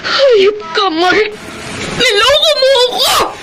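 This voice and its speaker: A woman's voice: very high-pitched, expressive, slow pace, very noisy, exaggerated voice. A woman with a Filipino accent playing a betrayed, heartbroken young lover in a melodramatic radio drama. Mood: tearful fury. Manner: melodramatic, emotional.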